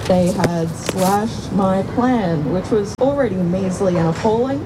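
Aussie woman reading out her own speech, careful and a bit halting, medium pitch, clear rumbly noise behind. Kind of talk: reading a prepared personal speech. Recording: clear steady background rumble.

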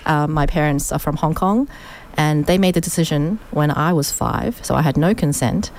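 A woman's voice: low-pitched, moderate pace, a little mellow, rough recording. A woman from Sydney, a guest on a community radio show, chatting in a thoughtful way with many fillers. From Australia, Sydney. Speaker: studio guest. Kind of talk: casual radio interview.

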